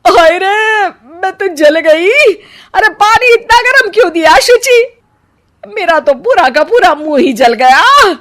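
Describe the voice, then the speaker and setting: A woman voicing an aggrieved, sharp-tongued older Indian mother-in-law in a cartoon family story, scolding and complaining. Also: very high-pitched, animated, takes it slowly, exaggerated voice. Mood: pained, shrieking. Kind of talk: animated Hindi family story dubbing.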